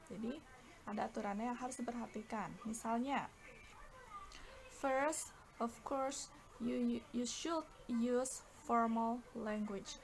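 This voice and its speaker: High-voiced Indonesian woman teaching a lesson. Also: steady tone, slow pace, rough recording. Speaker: English teacher. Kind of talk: bilingual instructional lesson. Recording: clear background noise.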